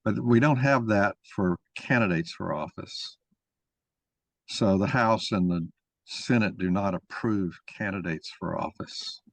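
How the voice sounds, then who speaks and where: A man arguing a point in informal discussion, halting and rephrasing as he goes as the moderator. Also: on the low side, creaky, dry recording. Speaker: moderator and main speaker of a mock-trial discussion.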